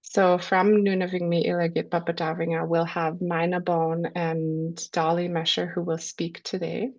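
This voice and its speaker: Low, gravelly woman with a cold. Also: level tone. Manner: measured, with frequent ums. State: has a cold. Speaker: webinar host. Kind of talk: introducing speakers on a webinar. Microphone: video call. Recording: lo-fi video call audio.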